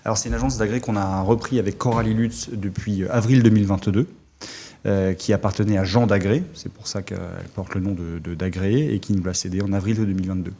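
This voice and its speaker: A middle-aged man from Alsace, a former army officer, talking as an interview guest in a halting way with many fillers. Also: on the low side, fairly even intonation, warm tone. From Alsace, France. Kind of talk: casual interview talk.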